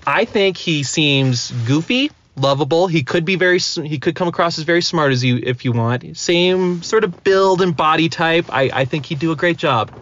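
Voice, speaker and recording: A man's voice: on the high side, moderate pace, few pauses, fairly bright tone. Man hosting, slightly dull lo-fi recording. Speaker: podcast host. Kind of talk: casual chat.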